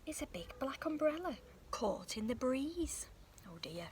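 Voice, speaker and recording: A woman reading a children's picture book aloud outdoors in a park, in a soft, breathy storytelling voice with gasps and sudden shouts. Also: high-pitched, big pitch swings, unhurried, noisy background. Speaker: storybook reader.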